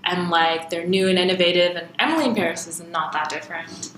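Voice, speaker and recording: A young woman co-hosting a casual TV recap, chatty and quick to laugh. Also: lowish voice, a bit bright, slight background noise. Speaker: co-host. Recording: roomy echo.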